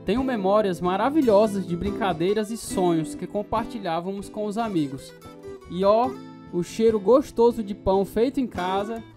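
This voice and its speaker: A man playing a grown narrator looking back on his childhood, reflective and measured in scripted radio drama narration. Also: high voice, lightly acted. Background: plucked guitar music.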